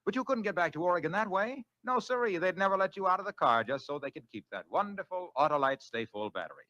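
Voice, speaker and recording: A man's voice: high-pitched, lively intonation, fast, bright, forceful tone, no echo. A man announcing on 1940s radio with a polished, emphatic sponsor's delivery. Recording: dull vintage 1940s radio broadcast.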